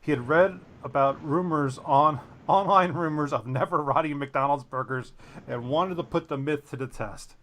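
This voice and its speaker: A man's voice: fairly high pitch, normal speed, a bit bright. A man co-hosting a podcast, reading a news story aloud and breaking off into jokey, self-deprecating tangents. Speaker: podcast co-host.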